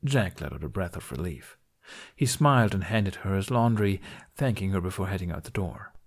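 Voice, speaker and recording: A man's voice: low-pitched, animated, moderate pace. A man reading a fantasy story aloud as a scripted audiobook-style narration. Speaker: podfic narrator reading fan fiction.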